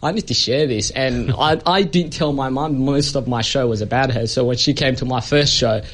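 A man's voice: mid-range voice, normal speed, fairly bright tone. A man from Ethiopia, a comedian guest chatting casually and telling stories with lots of fillers and laughter. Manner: chatty storyteller, many fillers, laughs often. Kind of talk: casual anecdotal interview chat.

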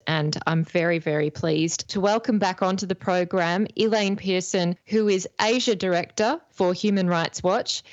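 A woman's voice: on the low side, fairly even intonation, moderate pace, hardly any pauses, dry recording, muffled recording. Australian woman hosting a radio interview. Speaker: radio host.

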